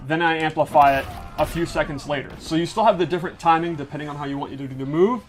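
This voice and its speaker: Higher-voiced man explaining a fighting game. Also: fairly even intonation, quick pace, soft background music. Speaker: game developer demonstrating a fighting game character. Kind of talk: casual explanatory gameplay commentary.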